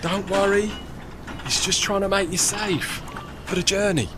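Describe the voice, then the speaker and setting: A man's voice: high voice, steady tone, a little slow, background noise. An actor playing a chatty, deferential working-class tugman with a London Cockney accent and a rambling, folksy manner in a period audio drama. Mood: reassuring.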